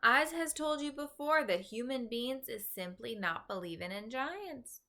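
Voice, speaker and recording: Stubborn gentle giant played by a woman, bright tone, folksy garbled grammar, pessimistic, storybook read-aloud. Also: on the high side, lively intonation, a little slow, pitched above the performer's own voice, heavily acted. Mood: doubtful.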